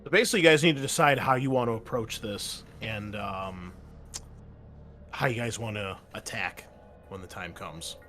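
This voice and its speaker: A man's voice: on the low side, moderate pace, sharp tone, slight background noise. A man running an online tabletop game as game master, rambling with many fillers and self-corrections.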